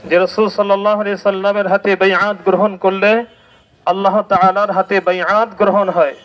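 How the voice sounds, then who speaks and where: A man's voice: high voice, level tone, moderate pace, dull audio, faint music behind. A Bangladeshi man giving an impassioned religious protest speech as a cleric, declamatory and exhorting, with rhetorical questions and repetition. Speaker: Islamic cleric addressing a protest rally.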